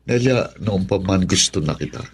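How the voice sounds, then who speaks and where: A man playing a smitten, persuasive suitor in a radio drama, with a husky voice. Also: low voice, expressive, normal speed, slight background noise. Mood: coaxing, insistent.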